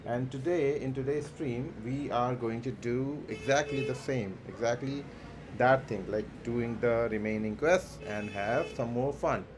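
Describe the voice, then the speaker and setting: A man hosting a game livestream with casual commentary, halting and repeating himself as he thinks aloud. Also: mid-range voice, noisy background. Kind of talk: casual live gameplay commentary. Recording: music behind the voice. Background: clear background music.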